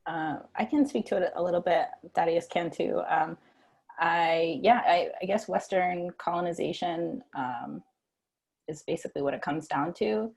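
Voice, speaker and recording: Very low-pitched woman panelist, husky voice, halting conversational style, video call. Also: a little mellow. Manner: conversational, halting, with light laughs. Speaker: policy lead, panelist. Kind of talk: panel discussion.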